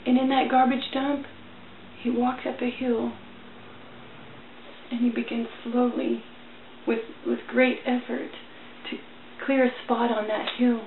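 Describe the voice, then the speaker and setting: A woman's voice: on the high side, level tone, a little slow, gravelly, long pauses. A woman giving a theatrical, emotional dramatic reading as a storyteller. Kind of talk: dramatic reading of a religious allegory. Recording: tinny, band-limited, echoey, faint noise.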